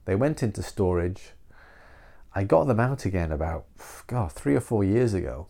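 A man's voice: lowish voice, lively intonation, moderate pace, creaky, warm tone. A middle-aged man hosting a solo podcast, nasal from a cold, rambling and self-deprecating with frequent restarts. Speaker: podcast host.

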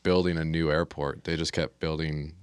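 An American man talks as a podcast guest, explaining things plainly and casually. His voice is extremely deep and smooth, recorded very dry. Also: little pitch movement, moderate pace, dry recording. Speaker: interview guest, air traffic control specialist. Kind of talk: casual interview conversation.

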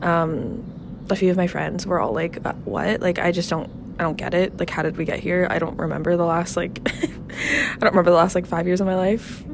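A woman's voice: fairly low pitch, fairly quick, vocal fry, background noise. A young woman in her twenties hosting a casual solo podcast, rambling with many fillers and laughs, a bit nasal and congested from a cold. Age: 20s. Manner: rambling, filler-heavy, frequent laughs and swearing. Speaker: podcast host. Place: outdoor apartment balcony.

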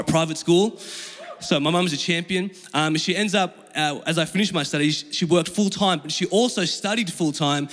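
A man's voice: a little high, fairly bright tone. A young man with an Australian accent and Chinese as his first language, preaching in an informal, slangy, conversational style. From Australia, Melbourne. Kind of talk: conversational sermon with personal storytelling. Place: large reverberant room with an audience. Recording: long hall reverb.

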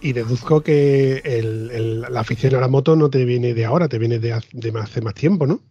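A man's voice: mid-range voice, normal speed, warm tone, husky, no room sound, soft background music. A man hosting an interview, asking curious questions and explaining things in detail. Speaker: interview host. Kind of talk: podcast interview. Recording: slightly dull lo-fi sound.

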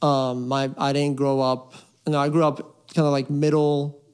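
A young man from Puerto Rico co-hosting a podcast, speaking thoughtfully with hesitant "um" and "like" pauses. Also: medium pitch, level tone, darkish tone, clean tone. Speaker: podcast co-host. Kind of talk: casual conversation.

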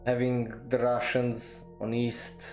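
A man's voice: mid-range voice, monotone, slow pace, darkish tone, soft background music. A man hosting a podcast, talking casually and bluntly about history, rambling with many fillers, restarts and the odd swear word. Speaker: podcast host.

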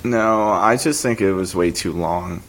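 A man with a fairly low voice that sounds hoarse, as if he were always sick. He teases his co-hosts in a deadpan way in casual podcast talk. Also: measured pace, a little hiss or hum. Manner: deadpan, teasing. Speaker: podcast co-host. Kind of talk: casual chat.

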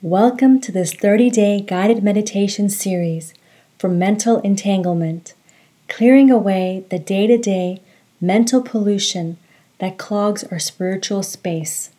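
A woman leading a guided meditation, giving calm, measured instructions and reciting. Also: medium pitch.

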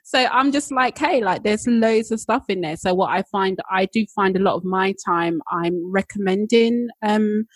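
A woman co-hosts a podcast in a chatty, enthusiastic way. Her voice is a little husky, and it is recorded dry and close with no room sound. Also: mid-range voice, moderate pace, hardly any pauses, no room sound. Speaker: podcast co-host. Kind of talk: casual podcast chat.

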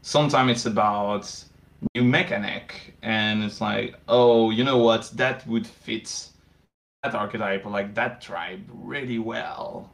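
Slow, gravelly-voiced man thinking aloud. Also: fairly low pitch, a bit bright. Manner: hesitant, many fillers and restarts. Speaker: game designer guest. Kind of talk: casual podcast interview.

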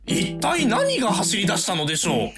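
A woman voicing a pedantic, excitable talking celery in comic radio drama, speaking in a formal, theatrical way. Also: medium pitch, moderate pace, loud music behind the voice. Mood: pedantic, musing. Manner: pedantic, formal, stuttering flourishes. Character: fussy, theory-obsessed talking celery, quirky and excitable.

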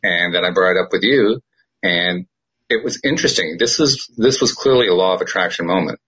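A man's voice: low-pitched, fairly quick, fairly bright tone, husky, poor audio. A man hosting a podcast, chatting casually in a rambling, self-deprecating way and often repeating his words. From United States. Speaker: podcast host. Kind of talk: casual chat.

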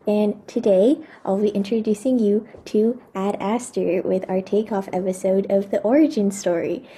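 Woman host from oregon reading her script, really dark mellow tone, a bit husky. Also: medium pitch, moderate pace. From Oregon, USA. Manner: reads from a script, even and orderly. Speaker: podcast host. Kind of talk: scripted podcast introduction.

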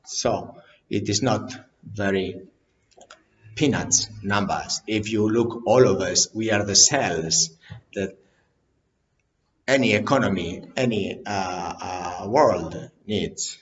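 Non-native male CEO, lowish voice, halting and repetitive, dull lo-fi audio. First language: not English. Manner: halting, repeats words, plain blunt phrasing. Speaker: company CEO. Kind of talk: direct-to-camera business talk.

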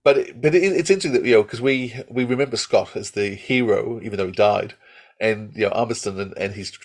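A man with a slightly low voice talks as a podcast host in casual review mode. He is enthusiastic and rambling, often interrupting himself to start a thought again. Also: fast.

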